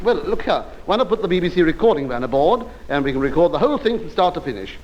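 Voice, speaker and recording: An actor playing an earnest, gullible and polite British radio reporter in an old radio comedy. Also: male voice, a little high, big pitch swings, dull audio. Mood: enthusiastic. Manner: earnest, brisk, politely hesitant. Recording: faintly noisy old radio recording.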